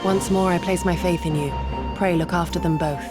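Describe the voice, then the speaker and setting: A woman's voice: lowish voice, fairly even intonation, moderate pace, loud music behind the voice, natural voice. An actress playing a dutiful, earnest young female ninja who speaks in a formal way. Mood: stern. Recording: game soundtrack under the voice.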